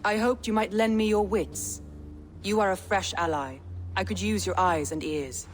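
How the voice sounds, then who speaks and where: A woman voicing a proud, wary Viking clan leader in a video game, with deliberate, solemn delivery. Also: medium pitch, fairly even intonation, slight background noise, natural voice. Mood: troubled. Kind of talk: cinematic video game acting.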